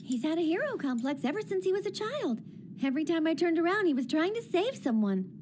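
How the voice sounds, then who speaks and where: A woman's voice: very high-pitched, big pitch swings, a little fast, a little mellow, slight background noise. A woman playing a bossy, playful guardian in an anime dub, teasing and theatrical. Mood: scolding, teasing. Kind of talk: anime English dub acting.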